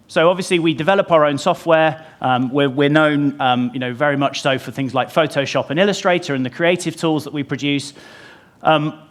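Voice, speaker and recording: A man's voice: a little high, a bit bright. A man speaking on stage at a conference into a lapel mic, talking in a conversational way with many ums and restarts. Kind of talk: conference presentation. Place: conference stage before an audience. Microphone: lapel mic pack.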